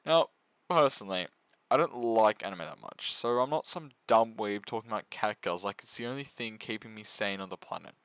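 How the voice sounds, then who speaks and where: A man's voice: lowish voice, brisk, a bit bright, clear, resonant tone, no room sound. Sarcastic man narrating, very dry sound. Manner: mock-serious, sweary, sarcastic. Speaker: video essayist and reviewer. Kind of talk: comedic scripted review narration.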